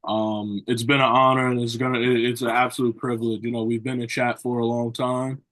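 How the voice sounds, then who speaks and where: A man's voice: medium pitch, little pitch movement, fairly quick, a bit bright, poor audio. A man hosting a sports interview show, asking long rambling questions with many repeated phrases and "you know" fillers. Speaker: podcast host.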